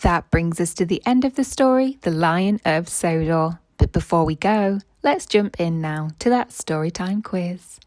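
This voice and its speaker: Low-pitched woman reading aloud, dry close sound. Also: expressive, unhurried, few pauses, a little mellow, no room sound. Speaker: storyteller and quiz host. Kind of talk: read-aloud children's story narration and quiz.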